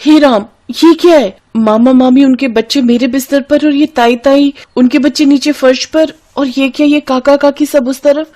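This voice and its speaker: A woman's voice: sits high, fairly even intonation, moderate pace, few pauses, mellow, pitched above the performer's own voice, close to an everyday voice. A woman voicing a tired, overworked young wife in a cartoon story, complaining. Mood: exasperated. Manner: complaining, exasperated.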